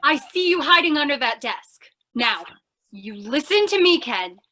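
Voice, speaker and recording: A woman's voice: sits high, big pitch swings, unhurried, sharp tone, exaggerated voice. A woman playing a feisty, impatient young would-be reporter in an old-time radio comedy, insistent and theatrical. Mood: bossy, exasperated. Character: feisty, pushy young female aspiring reporter, impatient fiancée.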